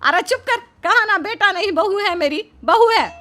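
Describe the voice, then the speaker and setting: A woman's voice: very high voice, expressive, moderate pace, bright, forceful tone, exaggerated voice. A woman voicing an affectionate, protective middle-aged mother-in-law in a cartoon family drama, motherly and emphatic. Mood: exasperated. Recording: music behind the voice.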